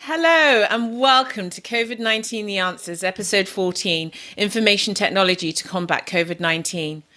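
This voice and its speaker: A woman's voice: medium pitch, brisk, bright, forceful tone. A woman doctor hosting a podcast, reading a formal scripted introduction and asking questions. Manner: formal, reads a prepared script.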